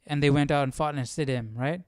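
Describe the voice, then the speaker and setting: A man's voice: on the high side, fairly even intonation, a little fast, darkish tone, clean tone, dry recording, clean studio sound. A man hosting a casual Bible study, reading aloud and informally thinking through it, often repeating himself and checking his understanding. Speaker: host of a live Bible study.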